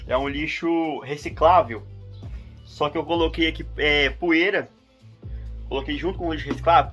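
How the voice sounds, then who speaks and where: A man's voice: mid-range voice, fairly bright tone. A man from in Brazil, vlogging in a rambling, casual monologue full of fillers and restarts.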